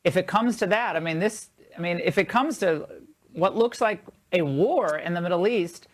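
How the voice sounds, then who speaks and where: A woman working as a TV news anchor, reading a statement and asking measured questions. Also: on the low side.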